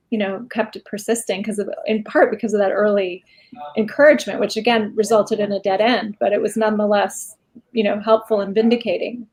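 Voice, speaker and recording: A woman's voice: medium pitch, a little mellow. A woman speaking as a guest writer and teacher in a casual interview, candid and anecdotal, acting out quotes as she goes. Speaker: guest short story writer and writing teacher.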